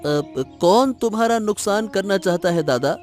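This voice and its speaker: A man's voice: high voice, expressive, normal speed, a little mellow, soft background music. A man playing a concerned, puzzled younger village brother, earnest and pleading, in a cartoon story.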